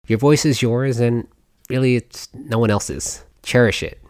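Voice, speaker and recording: Very low-voiced woman podcast host. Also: a little slow, a little mellow. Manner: laughs often, chatty, trails off mid-sentence. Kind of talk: casual podcast chat.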